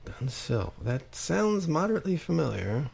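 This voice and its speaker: A man's voice: a little high, big pitch swings, normal speed, vocal fry, a little mellow, breathy edge. A man of about fifty from Long Island, New York, hosting a podcast with introductions and casual interview questions.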